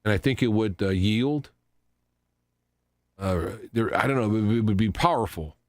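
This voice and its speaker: A man hosting a radio talk show, giving an unscripted commentary in a halting, rambling way, often repeating and restarting his phrases. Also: lowish voice, no room sound. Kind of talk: unscripted news commentary monologue.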